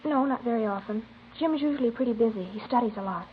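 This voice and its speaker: A woman playing a guarded, tentative teenage high school girl in a naturalistic 1950s radio drama. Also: quick pace, phone-quality sound, close to an everyday voice. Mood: guarded, casual. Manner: tentative, plain-spoken. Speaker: actor playing a witness. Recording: thin, noisy old radio recording.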